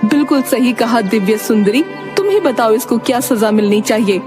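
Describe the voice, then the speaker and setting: An imperious fairy queen , acted by a woman, speaks in a high voice with regal, declamatory command. She sounds like a cartoon monarch passing judgement, with music behind her. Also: animated, moderate pace, natural voice. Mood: regretful, ruling.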